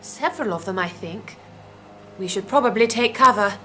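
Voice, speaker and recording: A woman's voice: medium pitch, lively intonation, normal speed, a bit bright, a little hiss or hum, lightly acted. A woman playing a time traveller's dry-witted, cautious companion in an audio drama, speaking formally and precisely. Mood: wary, dry.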